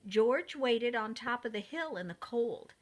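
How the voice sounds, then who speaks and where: Expressive woman reading slowly to young children. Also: on the high side. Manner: expressive, deliberate, addressing children directly. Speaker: preschool teacher reading a picture book. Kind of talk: storybook read-aloud for young children.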